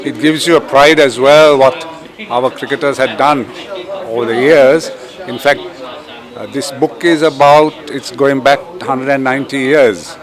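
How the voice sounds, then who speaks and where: A man, a cricket official, speaking with pride and some hesitation. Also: medium pitch. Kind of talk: interview comment. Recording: crowd chatter behind.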